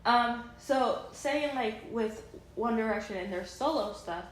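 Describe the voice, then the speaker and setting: An enthusiastic woman in her thirties talks in a casual vlog. She rambles, restarts her sentences and fills pauses as she goes. Her voice sits a little high, and the room echoes around her with a faint ambient hum. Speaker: vlogger.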